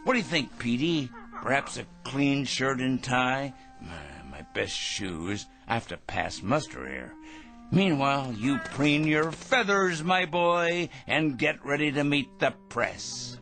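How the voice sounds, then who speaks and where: An actor playing a pompous, paranoid megalomaniac in a radio drama, with a theatrical, feverishly excitable, ranting manner. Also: male voice, mid-range voice, slow pace, soft background music, exaggerated voice. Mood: smug, preening.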